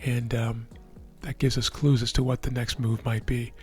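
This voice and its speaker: A man with a hoarse, worn voice calmly explaining the markets as a trading educator, often checking in with the listener. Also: medium pitch, moderate pace, soft background music. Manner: relaxed, explanatory, checks in with "right?". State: hoarse, losing his voice. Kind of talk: live market commentary over a chart screen.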